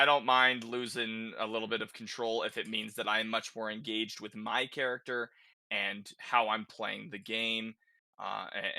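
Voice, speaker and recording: Guy hosting a casual gaming podcast, super bright punchy voice, dry sound, keeps saying right?. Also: on the low side, dry recording. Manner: conversational, often tags statements with "right?". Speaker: podcast host.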